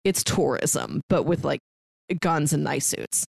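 A woman's voice: low voice, very animated, slow, vocal fry, slightly rough, dry recording, studio quality. A woman podcast co-host chatting casually about films and shows in a wry, talkative way.

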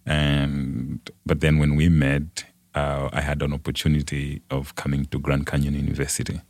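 A man's voice: very deep voice, monotone, moderate pace, a little mellow, no echo. A young man from Malawi with a Malawian accent, telling his own story conversationally as an interview guest. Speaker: podcast guest.

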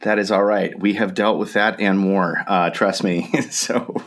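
Brief: deep-voiced male host, friendly and encouraging, video call. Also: steady tone, a little slow. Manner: warm, encouraging, wordy questions. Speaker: interview host. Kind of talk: friendly interview.

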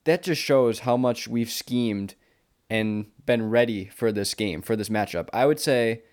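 A man's voice: medium pitch, a little slow, a little mellow. An American man co-hosting a sports podcast, talking casually and informally like a confident fan. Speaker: podcast co-host.

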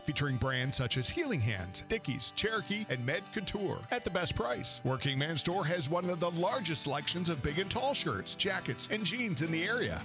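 Guitar music runs behind a man with a fairly high, very lively voice. He delivers a scripted retail commercial as a radio announcer.

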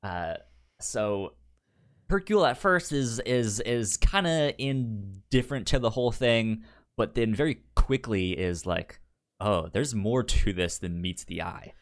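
Low-pitched man, halting casual podcast host. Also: expressive. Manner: hesitant, halting delivery with stammered repeated syllables. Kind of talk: casual film review chat.

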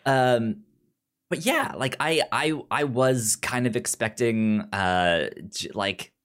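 A man chats casually as a podcast co-host, taking his time over each thought. His delivery is slow and halting, with frequent stammered restarts on words and sounds. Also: medium pitch, lively intonation, fairly bright tone, clear, resonant tone. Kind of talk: casual chat reviewing a drama series.

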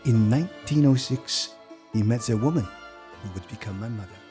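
A man's voice: medium pitch, moderate pace, lightly acted. An actor as solemn old man, music beneath. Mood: reflective. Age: 80s. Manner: reflective, solemn. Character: old man haunted by memories of his father. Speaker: storyteller recalling his childhood. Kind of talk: audio drama acting. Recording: music under the voice.

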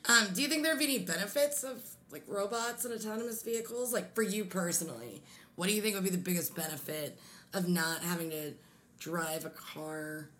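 A young woman from Pennsylvania with a low yet bright, forceful voice, chatting casually as a podcast co-host. She tells stories quickly and laughs often. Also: a little hiss or hum. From Pennsylvania, USA. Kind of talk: casual chat.

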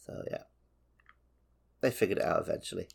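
A man chatting casually as a podcast co-host, joking and getting animated in an informal way. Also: brisk. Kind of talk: casual chat.

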